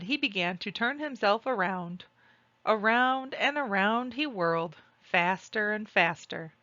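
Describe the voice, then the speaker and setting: A woman reading a children's storybook aloud and describing its pictures, with deliberate, expressive phrasing. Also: mid-range voice, dry recording, dull audio. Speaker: read-aloud narrator.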